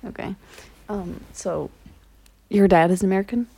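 A woman's voice: mid-range voice, unhurried, creaky, warm tone, husky, rough recording. A young woman hosting a casual podcast interview, chatty and teasing, often giggling. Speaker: podcast host. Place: indoors at a family home.

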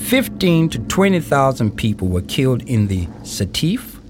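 A man playing a grave narrator of a historical radio play, reading scripted narration in a measured, deliberate, formal way with pauses. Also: medium pitch, big pitch swings. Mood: solemn, factual. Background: music.

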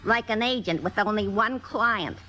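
An actress playing a blunt, suspicious maid with a folksy, curt manner in 1950s radio drama style. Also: female voice, medium pitch, moderate pace, bright, forceful tone, close to an everyday voice. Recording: old radio broadcast, faint music.

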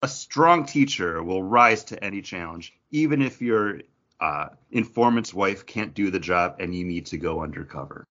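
A man reads quiz questions and chats casually as host. His voice sits low but swings widely in pitch. The sound is a little dull and lo-fi, like an internet call. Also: expressive, moderate pace, a bit bright. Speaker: podcast host running a trivia quiz. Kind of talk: casual chat, quizmaster reading questions. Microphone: online voice call.

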